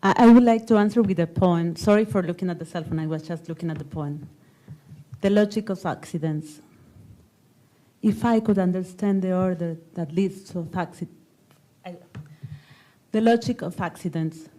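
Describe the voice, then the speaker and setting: A woman's voice: lowish voice, normal speed, long pauses, dark tone, rough recording. A woman poet on a panel, speaking as an in prepared and conversational remarks. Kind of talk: panel talk with poem reading.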